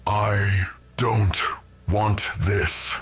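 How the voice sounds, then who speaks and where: A man's voice: fairly low pitch, steady tone, very slow, a bit bright, voice pushed up. A man voicing an anguished, defiant armored war-machine warrior in an audiobook, with a distorted growl and a pleading, straining delivery. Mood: desperate pleading.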